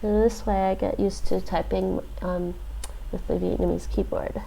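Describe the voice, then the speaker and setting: A woman streamer thinking aloud as she works through a lesson, hesitant, with many fillers and restarts, relaxed and self-deprecating. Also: mid-range voice, moderate pace, gravelly, a little hiss or hum, rough recording. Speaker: live streamer. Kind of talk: thinking aloud while doing a language app.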